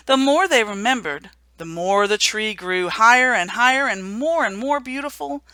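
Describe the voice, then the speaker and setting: A woman reading a children's storybook aloud for a remembrance service, gentle and hushed at the sad moments. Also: mid-range voice, no echo. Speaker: story reader.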